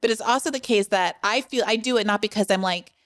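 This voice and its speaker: A woman hosting a podcast interview, articulate and measured, asking long, structured questions. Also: medium pitch. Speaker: podcast host. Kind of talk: interview conversation.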